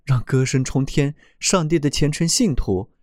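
Male storyteller, gentle, expressive, mid-pitched, dry close sound. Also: moderate pace, no echo. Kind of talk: children's fairy tale reading.

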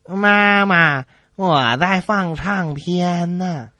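A man's voice: sits high, very slow, bright, forceful tone, no echo, full character voice. A man voicing an innocent, whiny little boy in radio comedy jokes. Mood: innocent, aggrieved.

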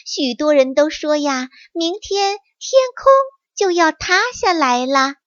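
A woman voicing a sly scheming fox, high and sing-song, coaxing, dull dry recording. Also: lively intonation, unhurried, a bit bright, no room sound. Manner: coaxing, sly, falsely casual. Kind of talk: children's story reading.

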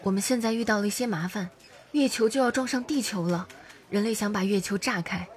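A woman playing an earnest, gentle young woman who works at a command centre in an audio drama. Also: medium pitch, brisk, close to an everyday voice. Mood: earnest, pleading.

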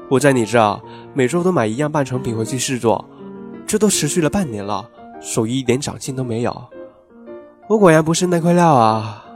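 A man's voice: medium pitch, close to an everyday voice. A man playing a playful, lovestruck young male customer in an audio drama, with an eager, coaxing manner. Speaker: voice actor in an amateur radio drama. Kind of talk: Chinese audio drama acting.

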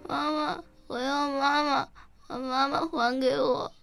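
A woman's voice: high-pitched, flat delivery, a little slow, vocal fry, music in the background. An actress playing a young, curious, bookish schoolgirl in a Chinese radio drama. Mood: distraught, pleading.

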